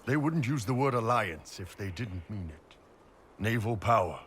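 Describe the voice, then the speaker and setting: An actor playing a big, laid-back horned mercenary warrior in a video game, talking bluntly and casually. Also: male voice, lowish voice, lively intonation, normal speed, a bit bright, a little hiss or hum. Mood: matter-of-fact.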